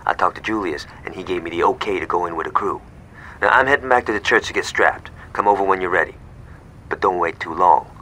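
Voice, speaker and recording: A man's voice: deep voice, fast, sharp tone, slight background noise, rough recording. A man playing a cocky, foul-mouthed young gangster in a video game, with a sarcastic, swaggering delivery. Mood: businesslike swagger. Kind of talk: video game cutscene acting.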